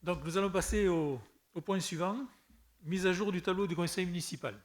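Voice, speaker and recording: A man presiding over a municipal council, his voice sitting a little high. He reads the agenda items in a brisk, formal way. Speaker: mayor chairing a council meeting. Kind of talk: chairing a meeting and reading agenda items.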